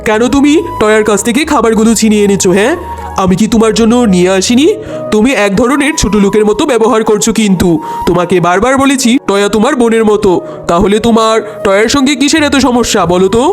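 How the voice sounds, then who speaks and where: Earnest cartoon dad, male performer: firm but caring, fair-minded, admonishing delivery over soft music. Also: sits high, fast talker, natural voice. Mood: scolding. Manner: earnest, scolding and reasoning. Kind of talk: cartoon dialogue.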